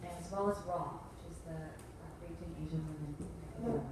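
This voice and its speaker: Slow, wry woman in an echoey hall. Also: deep voice, steady tone. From Brampton, Canada. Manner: wry, self-deprecating. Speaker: panelist. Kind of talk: panel self-introduction. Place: event hall. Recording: distant mic in an echoey hall, noisy.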